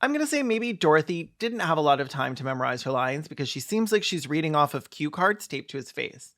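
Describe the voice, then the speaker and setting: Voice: mid-range voice, big pitch swings, fairly quick, fairly bright tone. A host giving comedic reaction commentary on a film in a campy, sarcastic way.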